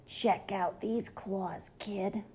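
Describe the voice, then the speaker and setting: A woman voicing a cocky, slimy monster in a children's storybook with a rasping voice. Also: medium pitch, fairly even intonation, very slow, darkish tone. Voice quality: raspy.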